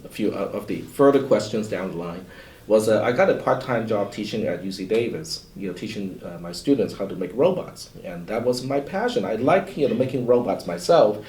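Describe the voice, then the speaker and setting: A Cantonese-speaking man, a college instructor, talking in a relaxed, rambling way full of "you know" in a panel discussion. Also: fairly low pitch, a little fast, slight background noise. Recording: roomy echo, far from mic.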